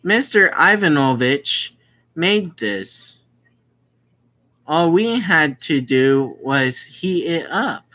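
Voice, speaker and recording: A man reading the lines of a friendly young woman from a visual novel, in a gentle manner. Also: fairly high pitch, sing-song, drawn-out words, pitched above the performer's own voice, exaggerated voice.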